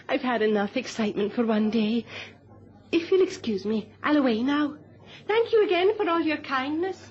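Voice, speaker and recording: High-pitched, frank traveller. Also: female voice, lively intonation, moderate pace. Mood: frank, accepting. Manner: frank, homely, direct. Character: plain-spoken, trusting, practical woman on holiday abroad. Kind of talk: radio play acting. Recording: muffled old radio recording.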